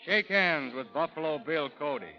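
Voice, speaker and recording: A male actor as a dryly humorous frontier scout in an old radio western. His voice sits fairly high for a man and moves around freely, with a folksy, teasing manner. The sound is dull vintage broadcast. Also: animated, moderate pace, lightly acted. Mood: jovial, teasing. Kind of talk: 1930s radio drama acting.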